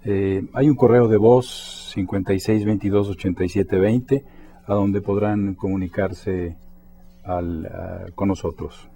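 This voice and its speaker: Male TV host, low mellow voice, polite, some fillers. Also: steady tone, a little slow, gravelly, slightly rough. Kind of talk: broadcast talk-show hosting.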